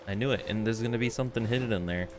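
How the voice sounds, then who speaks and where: A man's voice: fairly low pitch, rapid-fire, music in the background. A young man doing casual livestream game commentary and reading text aloud, slangy and musing. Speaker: game streamer.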